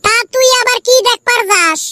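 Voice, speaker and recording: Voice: very high-pitched, moderate pace, sharp tone, no echo. A performer voicing a grumbling, teasing young village friend in a comedy cartoon, with a northern Bengali accent. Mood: teasing complaint. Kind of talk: comic cartoon dialogue.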